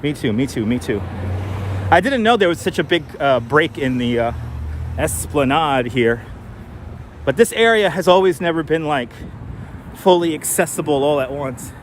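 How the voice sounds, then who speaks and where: A man with a mild New York accent, his voice sitting a little on the high side, chats with his viewers as he walks outdoors. He thinks aloud and often restarts his sentences. Faint city traffic hums behind his clip-on mic. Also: lively intonation, normal speed, long pauses. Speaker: live stream host. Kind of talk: casual walking live stream, chatting with viewers. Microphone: wireless clip-on mic. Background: faint street traffic.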